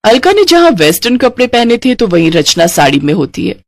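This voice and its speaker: A woman's voice: medium pitch, sing-song, brisk, no echo. A woman narrating a scripted cartoon story as the storyteller.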